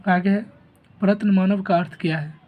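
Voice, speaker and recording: A man with a sore throat and a rough voice, steadily reading exam questions and giving each answer and option like a teacher. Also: sits high, fairly even intonation, normal speed, highs cut off. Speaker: teacher going over an exam answer key.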